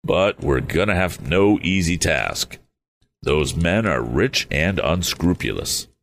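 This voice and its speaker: A man's voice: sits low, lively intonation, gravelly, a little hiss or hum, exaggerated voice. A man doing a cautious older inventor father. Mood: calm. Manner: measured, deliberate.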